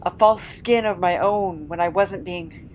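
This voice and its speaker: Woman acting a frightened supernatural captive, slow pace, weary, halting, pleading, audio drama, noisy ambient background. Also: on the low side, lightly acted. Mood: bitter.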